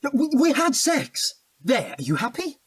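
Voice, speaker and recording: An actor playing an evasive, defensive cheating husband in a naturalistic audio drama, protesting and stammering when cornered. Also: male voice, very high-pitched, wildly swooping pitch, moderate pace, fairly bright tone, no room sound, clean studio sound, recorded from a distance, exaggerated voice. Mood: cornered, irritated.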